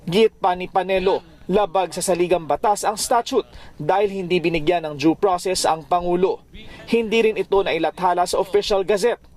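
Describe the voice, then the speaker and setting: A high-voiced man with a Filipino accent delivers a scripted news report. He narrates as a TV reporter does, setting out each point in formal broadcast style. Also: fairly quick, darkish tone.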